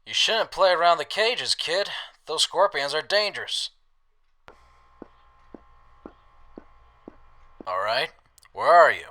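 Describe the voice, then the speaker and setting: A man playing a wry, roguish wasteland drifter with a soft heart in an audio drama. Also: on the high side, very animated, moderate pace. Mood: wry, kindly.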